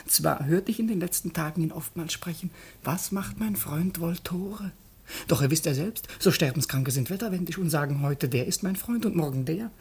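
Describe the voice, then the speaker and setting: An actor playing a wily, obsequious servant in a classical radio comedy, with oily and exaggerated mock deference. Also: male voice, a little high, normal speed, darkish tone, slightly rough. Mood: confidingly sly.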